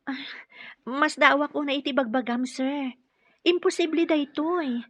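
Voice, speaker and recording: A high, bright, humble young employee. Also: female voice, measured pace, no echo. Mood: astonished, flustered. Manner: deferential, hesitant. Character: humble, flustered young female employee from province. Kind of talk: Ilocano radio drama acting.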